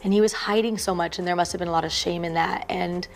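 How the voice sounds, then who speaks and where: A woman's voice: fairly low pitch, steady tone, fast talker. A woman giving a reflective, personal account as an interviewee.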